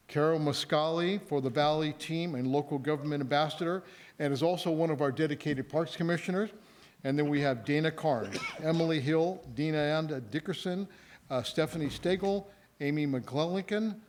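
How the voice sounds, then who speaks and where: A man presenting a community award on a theatre stage, reading out the honorees' names and cheering them on in a casual, upbeat way. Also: fairly high pitch. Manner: upbeat, casual, encouraging the audience. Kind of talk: ceremony announcing, reading out names.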